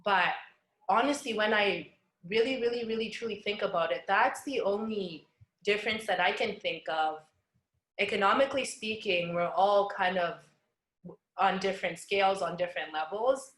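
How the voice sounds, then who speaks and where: A woman's voice: medium pitch, normal speed, a bit bright, echoey room. A young woman on a discussion panel, speaking reflectively and searching for words as she goes.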